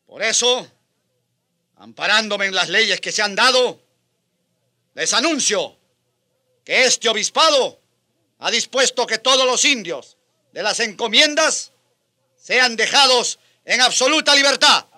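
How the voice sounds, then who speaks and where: Fiery, bright-voiced righteous colonial bishop. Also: male voice, extremely high pitch, lively intonation, measured pace, pauses often, heavily acted. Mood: proclaiming, stern. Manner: declamatory, indignant, commanding. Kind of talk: radio drama acting.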